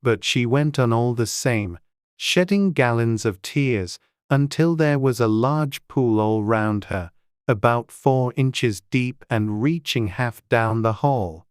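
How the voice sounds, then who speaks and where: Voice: medium pitch, slow pace, clear, resonant tone, dry recording, clean studio sound. A narrator reading a children's storybook as a scripted audiobook.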